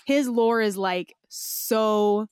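A woman's voice: medium pitch, extremely slow, smooth, dry recording. A woman hosting a podcast in casual conversation, speaking informally and emphatically with some slang. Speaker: podcast host.

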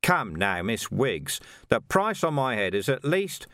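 Male reader as a wry, dry middle-aged police chief, lively inflection. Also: medium pitch, measured pace, no room sound, full character voice.

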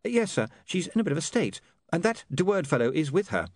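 A man's voice: a little high, very animated, a little fast, dry recording, clean studio sound, pitched above the performer's own voice. A man voicing a deferential, flustered overseer who stammers and repeats himself, in an audiobook. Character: flustered, deferential male print-works overseer.

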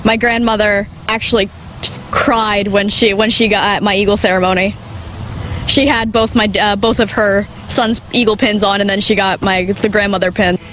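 Young woman being interviewed for the news, earnest, medium pitch, slight noise behind her. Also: steady tone, moderate pace, a bit bright, poor audio. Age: teenager or young adult.

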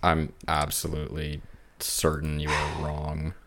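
A man's voice: rumbling low, monotone, measured pace, gravelly, a bit bright. A man co-hosting a podcast in casual banter about video games. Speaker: podcast co-host.

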